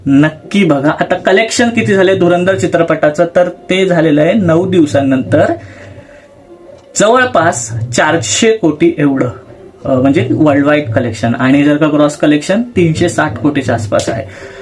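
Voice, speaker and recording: High-pitched male YouTube host. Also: measured pace, soft background music. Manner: conversational, mixes in English words. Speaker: YouTube film channel host. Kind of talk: casual explainer to camera.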